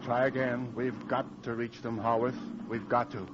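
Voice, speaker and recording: A stern Army captain played by a male actor in an old radio play. He is weary, terse and protective of his men, with dramatic 1940s delivery. The recording is muffled, with clear background noise. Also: medium pitch, little pitch movement, normal speed, natural voice. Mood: desperate.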